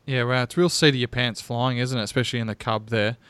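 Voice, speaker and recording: A man's voice: mid-range voice, steady tone, fast, fairly bright tone, clear, resonant tone, dry recording. A man hosting an interview, asking questions in a friendly, loose way and calling his guest "mate". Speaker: podcast host.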